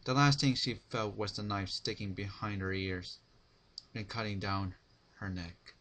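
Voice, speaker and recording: A man's voice: on the low side, fairly even intonation, measured pace, pushed and bright. A man narrating a dramatic reading of fan fiction, with some faint noise in the recording.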